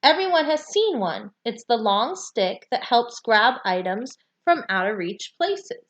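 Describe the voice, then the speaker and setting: A woman reading a children's nonfiction book aloud with deliberate, emphatic phrasing for young listeners. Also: a little high, sing-song, a bit bright. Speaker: read-aloud storyteller.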